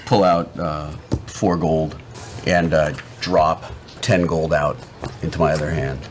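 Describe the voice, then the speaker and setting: A man's voice: a bass voice, steady tone, takes it slowly, a little hiss or hum. A man playing a tabletop game, moving casually between in-character talk and banter.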